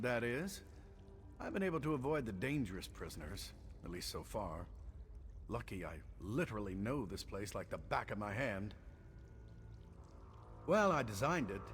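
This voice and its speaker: A sardonic, talkative engineer, played by a male actor, with a mid-pitched voice that rises and falls a lot. He explains things like a wry game character, over faint music. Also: lightly acted. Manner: sardonic, chatty. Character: sardonic, chatty, humble prison engineer, man. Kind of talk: video game character acting.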